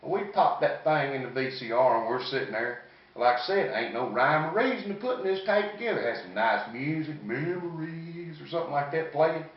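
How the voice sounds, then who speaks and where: A man's voice: on the high side, lively intonation, fairly quick. Echoey, distant Southern man telling folksy stories. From American South. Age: 50s. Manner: folksy, rambling, salty-tongued. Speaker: comedian. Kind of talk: stand-up comedy storytelling. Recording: echoey, distant, muffled room recording.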